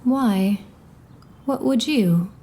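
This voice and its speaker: A woman's voice: a little high, very slow, dark tone. A woman playing a proud, witty young noblewoman in a Shakespearean audio drama, with a haughty, teasing, theatrical delivery. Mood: cool, intrigued.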